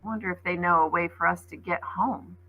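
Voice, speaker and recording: A woman's voice: lowish voice, a little fast, phone-quality sound. A woman voicing a practical, helpful young boy in a storybook, earnest and inquisitive. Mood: puzzled.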